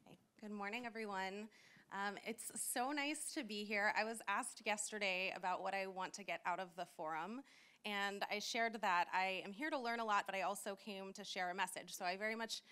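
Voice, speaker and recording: A woman's voice: medium pitch, normal speed, sharp tone, clear, resonant tone. A woman from the United States in her forties giving a passionate conference talk with a handheld mic. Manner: earnest, passionate. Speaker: conference speaker. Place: conference room with audience.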